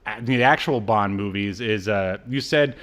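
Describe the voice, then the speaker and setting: A man's voice: lowish voice, moderate pace, a bit bright. A man co-hosting a podcast, casually recapping an episode with wry, sarcastic asides. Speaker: podcast co-host.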